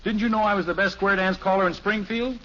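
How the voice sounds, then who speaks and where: A man's voice: sits high, fairly even intonation, quick pace, fairly bright tone. An actor playing a blustery, nostalgic middle-aged American father in an old radio sitcom, with an emphatic, hearty delivery. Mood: hearty, persuading. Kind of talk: 1950s radio sitcom acting. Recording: dull, noisy old radio broadcast recording.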